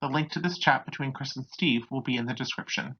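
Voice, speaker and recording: A narrator with a raspy voice hosting a true crime video, reading from a script on a dull home recording. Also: medium pitch, quick pace.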